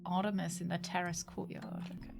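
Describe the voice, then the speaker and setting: A woman's voice: on the low side, little pitch movement, brisk, vocal fry, music in the background. A woman streamer with a congested, snotty voice, chatting and apologizing cheerfully while she plays a game. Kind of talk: casual gameplay commentary.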